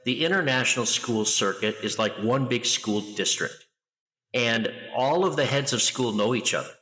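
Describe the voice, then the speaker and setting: A middle-aged man talking loudly and with lively energy as a guest in an interview. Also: medium pitch, moderate pace, long reverb tail, rough recording. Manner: loud, animated storyteller. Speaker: guest, international school educator. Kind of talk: conversational interview.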